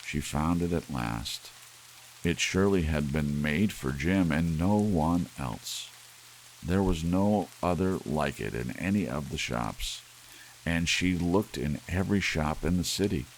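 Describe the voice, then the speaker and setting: A man with an extremely deep, gravelly voice narrates an audiobook in a soft, measured ASMR style. His intonation rises and dips in a lively way as he tells the story. A gentle hiss of rain runs behind his reading. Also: poor audio.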